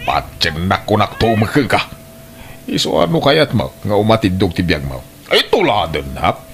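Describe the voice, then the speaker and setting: A man's voice: lowish voice, wildly swooping pitch, moderate pace, gravelly, full character voice. A man playing a roguish, pushy uncle who coaxes others into trouble, in an Ilocano-accented radio drama. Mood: cajoling. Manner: cajoling, pushy. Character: roguish, coaxing uncle, a bad influence.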